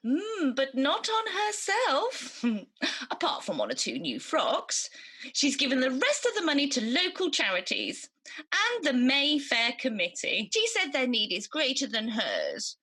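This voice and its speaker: A woman's voice: high-pitched, huge pitch swings, measured pace, sharp tone, full character voice. A woman playing a good magical fairy in a radio pantomime, theatrical, chatty and playfully smug. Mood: cheeky, pleased.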